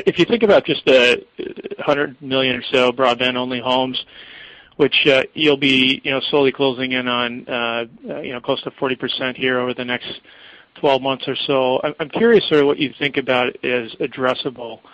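A man's voice: medium pitch, steady tone, normal speed, a bit bright, no echo, low-quality recording. Hesitant male analyst, dry close sound. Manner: hesitant, winding phrasing. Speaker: financial analyst caller. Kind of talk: asking questions on an earnings call.

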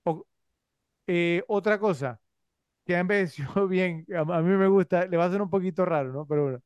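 A man's voice: high voice, moderate pace, darkish tone, clean tone, dry recording. A man co-hosting a movie podcast, rambling casually with many fillers and tag questions. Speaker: podcast co-host. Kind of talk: casual movie review chat.

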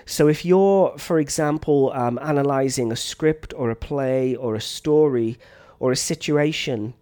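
A man's voice: mid-range voice, measured pace. Man with a slight chest cough. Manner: relaxed, unscripted, frequent ums and restarts. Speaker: science teacher. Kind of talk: teacher training talk.

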